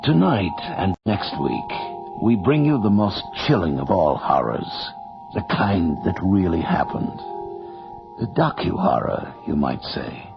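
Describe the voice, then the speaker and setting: Gravelly, deep sinister horror host. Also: male voice, very animated, full character voice. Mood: sinister relish. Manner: slow, ominous, dramatic pauses. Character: sinister, relishing male horror anthology host. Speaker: radio horror series host. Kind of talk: theatrical 1980s radio horror narration. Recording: muffled old radio broadcast with music.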